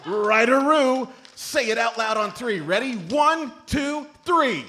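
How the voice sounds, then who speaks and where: A man's voice: extremely high pitch, lively intonation, slow pace, pushed and bright, full character voice. A man playing an eager, sentimental best-friend adventurer in a comedic radio play, gushing and earnest. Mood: giddy. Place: comedy theatre stage, live show. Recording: noisy live stage recording.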